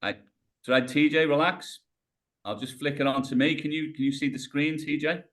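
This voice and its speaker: A British man in his fifties coaching a client through floor exercises on a video call, calm and encouraging, step by step. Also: on the high side, moderate pace. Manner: encouraging, talks a client through each movement step by step, says mate. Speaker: rehab coach. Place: home room, on the floor. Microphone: video call webcam.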